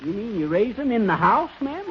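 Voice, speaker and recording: A man's voice: sits high, sing-song, moderate pace. An actor playing a cocky, bumbling married man with folksy, ungrammatical talk in a 1930s radio comedy. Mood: chummy, folksy. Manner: ungrammatical folksy talk, stammering bluster, chuckling. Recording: noisy muffled 1930s radio recording.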